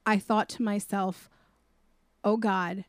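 Female podcast co-host, mid-pitched, direct and candid, dry mic. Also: little pitch movement, takes it slowly, smooth, no room sound. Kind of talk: casual movie review chat.

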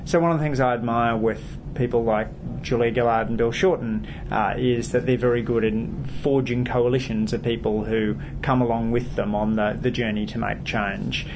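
A gravelly-voiced man in his forties answers interview questions, pausing often with hesitant fillers. He speaks in a measured, thoughtful way. A steady low rumble runs under the recording. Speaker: politician.

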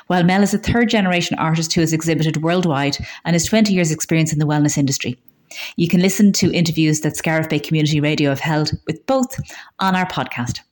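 A woman's voice: lowish voice, darkish tone. A woman with an Irish accent reading scripted local news as a community radio newsreader.

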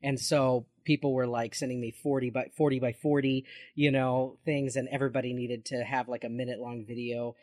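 A forty-year-old man chatting casually as a podcast co-host, often pausing and repeating words as he goes. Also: medium pitch, little pitch movement, a little mellow, no room sound, studio quality. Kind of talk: casual chat.

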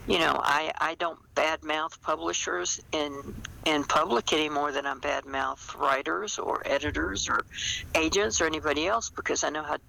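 An older woman chatting as an interview guest, reflective and anecdotal, with hesitant pauses. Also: low-pitched, fairly even intonation, creaky, bright, forceful tone, a little hiss or hum, poor audio. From small-town United States. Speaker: guest, novelist. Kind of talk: casual interview chat.

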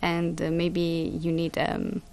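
A woman's voice: fairly low pitch, monotone, slow, gravelly, darkish tone. A woman speaking as an interviewer in an informal, exploratory, conversational way.

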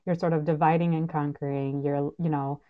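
A woman's voice: low voice, flat delivery, brisk. A woman moderating a panel casually, with an informal, affirming way of talking. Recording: thin phone-like line.

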